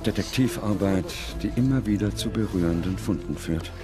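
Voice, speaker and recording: A man reads scripted documentary narration in a measured, serious manner. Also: low voice. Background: music.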